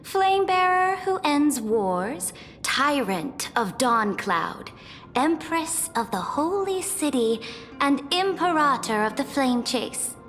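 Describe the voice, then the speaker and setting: An actress playing a proud, cold young empress in a video game, speaking with haughty, deliberate command. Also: female voice, sits high, a bit bright, full character voice. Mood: regal, proud. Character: imperious young female empress, cold, proud, ruthless conqueror. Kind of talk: dramatic video game voice acting. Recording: long hall reverb, faint music behind.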